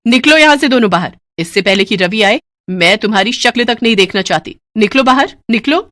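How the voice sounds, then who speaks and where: A woman's voice: fairly high pitch, expressive, brisk, a bit bright, a little hiss or hum. A woman voicing a bossy, greedy young daughter-in-law who scolds and orders people around in a storybook drama. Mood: furious. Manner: haughty, scolding, commanding.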